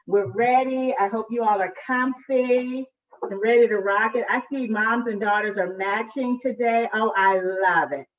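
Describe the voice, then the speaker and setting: A woman's voice: on the high side, unhurried. A woman hosting a live children's read-aloud, chatting to families at storytime. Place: indoors at home, on a live video call. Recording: echoey room, distant muffled mic.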